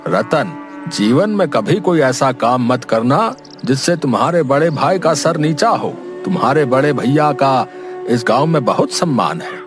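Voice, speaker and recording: A man playing a fatherly village headman in a cartoon story, measured and admonishing. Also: medium pitch, animated, music in the background, natural voice. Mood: gently admonishing. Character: fatherly village headman giving moral advice. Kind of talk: cartoon moral story acting.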